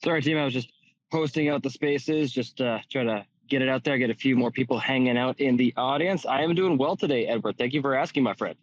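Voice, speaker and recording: A man's voice: medium pitch, fairly quick, dry recording. A man hosting a casual chat, friendly and curious as he asks questions. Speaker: host. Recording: dull lo-fi audio.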